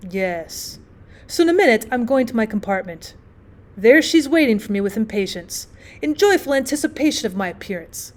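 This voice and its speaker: A woman voicing a giddy, boastfully happy newlywed gentleman in an audiobook, tipsy, effusive and rambling. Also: a little high, very animated, normal speed. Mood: blissful, gushing. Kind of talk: acted audiobook storytelling.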